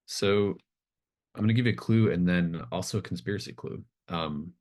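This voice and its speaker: A man's voice: low voice, normal speed, a little mellow. A man acting as game master, improvising narration for a tabletop game in a hesitant, thoughtful, informal way.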